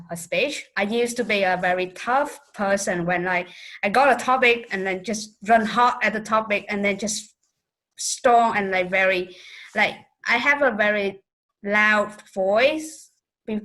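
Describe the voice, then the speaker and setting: A woman's voice: medium pitch, a bit bright, low-quality recording. Hesitant woman with many fillers. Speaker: speech club member. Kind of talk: casual spoken reflection on a video call. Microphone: video call.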